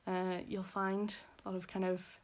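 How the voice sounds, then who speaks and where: A woman's voice: medium pitch, monotone, moderate pace. A young woman with a Belfast accent, talking casually as an interview guest, rambling with many fillers. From Northern Ireland.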